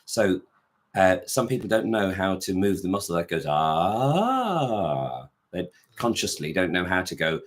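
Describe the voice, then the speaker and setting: Male choir director, very low voice, loose rambling conversational delivery. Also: sing-song, a little slow. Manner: rambling, many restarts, sings short notes to demonstrate. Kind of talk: casual interview chat.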